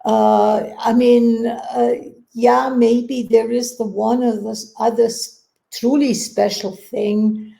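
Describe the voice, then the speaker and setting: Slow, creaky, mellow-voiced woman. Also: a little high, flat delivery. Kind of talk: reflective casual conversation.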